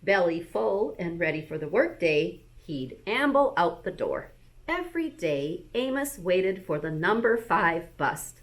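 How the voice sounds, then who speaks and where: A woman, a school librarian, reading a bedtime story aloud to young children in an expressive, animated manner. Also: lowish voice, a little slow.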